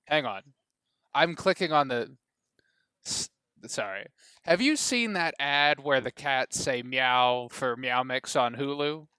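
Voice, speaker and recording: A man's voice: mid-range voice, lively intonation, slow pace, sharp tone, smooth, no room sound. A man co-hosting a podcast in casual chat, rambling, joking and cutting himself off mid-sentence. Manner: rambling, self-interrupting, joking. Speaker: podcast co-host.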